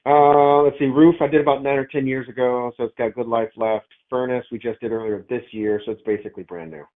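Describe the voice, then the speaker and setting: A man's voice: medium pitch, steady tone, fairly quick. Casual, hesitant man on a phone line. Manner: hesitant, thinking aloud, easygoing. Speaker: property owner, caller. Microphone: phone call. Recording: narrow, tinny phone line.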